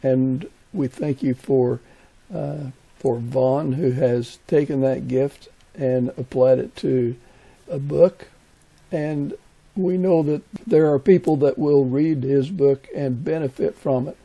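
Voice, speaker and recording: A man's voice: medium pitch, takes it slowly, gravelly, dark tone, husky, poor audio. A man from the United States hosting a conversational interview podcast.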